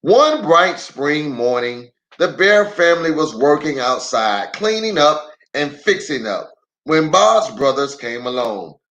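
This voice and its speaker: A man's voice: fairly high pitch, a little slow, rough recording. A middle-aged man, a pastor, reading a children's storybook aloud with playful, chatty asides to the young listeners. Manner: expressive, playful, addresses children directly.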